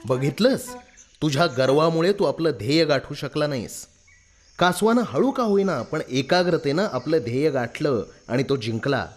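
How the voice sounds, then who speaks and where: Man voicing a lively, wise animal judge. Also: a little high, moderate pace, soft background music. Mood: scolding. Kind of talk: animated children's storybook acting.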